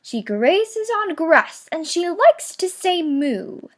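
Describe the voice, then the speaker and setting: A woman's voice: very high-pitched, very animated, takes it slowly, exaggerated voice. A woman voicing polite young picture-book animals who patiently and emphatically explain things.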